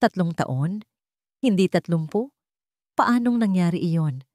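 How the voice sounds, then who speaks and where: A Filipino woman voicing a young female patient in a story, fearful and disbelieving, with halting, emotional questions. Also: fairly low pitch, expressive, a little mellow, no room sound, studio quality.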